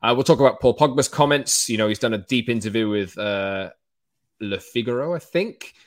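A Welsh man hosting a football podcast, talking in a quick, chatty, energetic way. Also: mid-range voice, dry recording. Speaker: podcast host. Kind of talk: casual football podcast chat.

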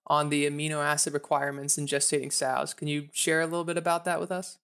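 A man hosts an interview in a casual style. His voice is slightly high with plenty of vocal fry, and he moves briskly through introductions and questions. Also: little pitch movement, a bit bright. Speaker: podcast host.

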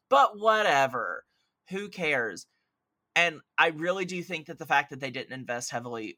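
Bright-voiced man, opinionated podcast guest. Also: fairly high pitch. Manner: opinionated, emphatic, effusive. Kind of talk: casual film chat.

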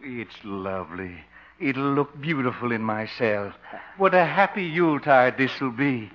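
An actor playing a polite, lonely ex-safecracker with courtly, formal manners in a 1950s radio comedy. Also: male voice, medium pitch, lively intonation, unhurried, slight background noise, lightly acted. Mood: polite, grateful. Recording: dull lo-fi 1950s radio recording.

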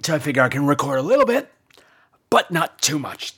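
Male podcast host, laryngitis hoarseness, slightly high pitch, campy, cheeky, casual comedic monologue. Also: big pitch swings, brisk, a bit bright.

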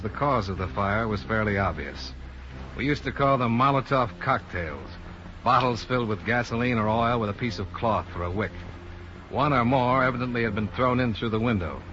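An American actor playing a cool, businesslike insurance investigator in a 1950s radio drama, terse and matter-of-fact. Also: male voice, lowish voice, fairly bright tone, close to an everyday voice. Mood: grim, matter-of-fact. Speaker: radio drama lead and narrator. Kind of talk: 1950s hard-boiled radio acting and narration. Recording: muffled, noisy 1950s radio recording.

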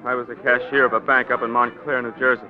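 An actor playing a despairing, meek young American bank cashier in a plaintive 1940s radio drama style. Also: male voice, mid-range voice, little pitch movement, fast, natural voice. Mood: miserable, guilty. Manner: pleading, plaintive. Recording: muffled 1940s radio recording, music behind.

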